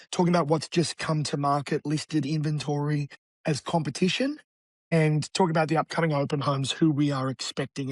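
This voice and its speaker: Raspy aussie guy, kinda high voice, talks blunt and punchy, dry close sound. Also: dry recording. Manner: energetic, blunt, matey ("man"). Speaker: guest real estate agent. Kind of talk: casual interview talk.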